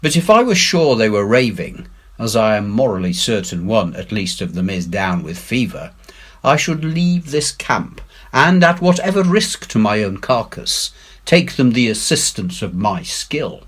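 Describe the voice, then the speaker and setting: Guy playing stern old-fashioned ship's doctor in a storybook, gruff n formal, bit husky, sneery. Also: on the low side, sing-song, unhurried, fairly bright tone, exaggerated voice. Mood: sneering. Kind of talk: dramatic storybook character reading.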